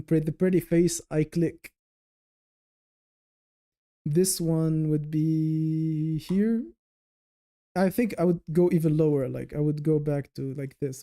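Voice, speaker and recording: A man's voice: a little high, mellow. A man hosting a casual livestream, rambling and thinking aloud as he chats with viewers. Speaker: livestream host.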